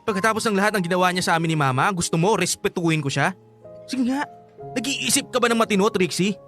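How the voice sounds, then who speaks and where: A man's voice: sits high, expressive, fast, fairly bright tone, faint music behind. A man acting a bitter, hot-headed grown son in a Filipino radio drama, confrontational and sarcastic. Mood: bitter, taunting.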